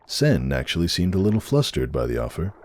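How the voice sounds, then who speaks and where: A man's voice: sits low, very animated, fairly quick, darkish tone. A man reading fan fiction as a narrator, in a dramatic storybook style.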